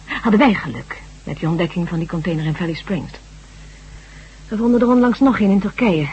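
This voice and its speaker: An actress playing a grave, resolute survivor of a hunted humanlike species in a Dutch radio drama, speaking in an earnest, measured way. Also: female voice, on the low side, a little mellow, natural voice. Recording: dull old radio recording with hum.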